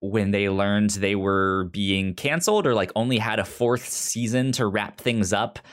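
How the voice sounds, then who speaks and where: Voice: low voice, level tone, unhurried. A podcast co-host talking casually about a TV season, often stammering and repeating words while finding his point. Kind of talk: casual TV recap chat.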